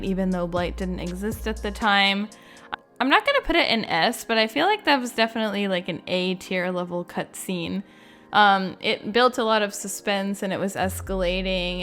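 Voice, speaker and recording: A woman's voice: medium pitch, fairly bright tone. A woman hosting a gaming video, giving casual, chatty and informal commentary. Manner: chatty, informal, frequent "like" and "you know". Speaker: gaming video creator and host.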